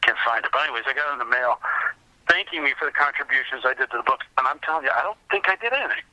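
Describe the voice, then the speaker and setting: Male podcast guest, piercingly bright mid-pitched voice, informal chat, wandering sentences that restart mid-thought. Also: expressive, very fast, no echo, poor audio. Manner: rambling, conversational, backtracks mid-thought. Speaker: podcast guest, comics researcher and collector. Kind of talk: casual interview chat.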